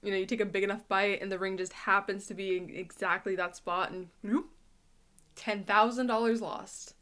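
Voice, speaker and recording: A woman's voice: medium pitch, steady tone, moderate pace, a bit bright. A woman doing casual reaction commentary in an amused, sardonic manner. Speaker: reaction video creator.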